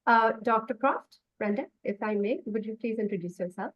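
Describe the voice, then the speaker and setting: A woman's voice: on the high side, normal speed, no echo, highs cut off. A woman co-moderator politely introducing remote speakers.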